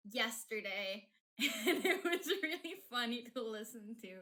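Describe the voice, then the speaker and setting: A woman whose first language is Polish chats loosely through a live stream. Her voice sits a bit high, and she keeps restarting her sentences and filling the gaps with ums. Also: expressive, a little slow, pushed and bright. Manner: chatty, many fillers and restarts, laughs often. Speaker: streamer and fanfic writer. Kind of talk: casual live-stream chat.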